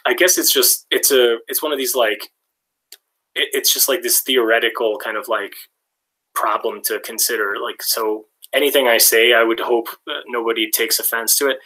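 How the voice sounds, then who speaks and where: Man, livestream host, bright tense voice, rambling, lots of fillers and restarts. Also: mid-range voice, moderate pace, rough recording. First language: English and Hungarian. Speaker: livestream host answering chat questions. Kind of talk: casual livestream chat. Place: lives in Hungary.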